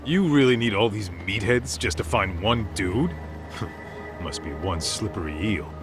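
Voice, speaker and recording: An actor playing a gruff, easygoing, slangy tough detective in a video game. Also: male voice, on the low side, lively intonation, a little slow, over music. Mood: mocking. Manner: blunt, teasing, slangy. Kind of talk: English video game voice acting.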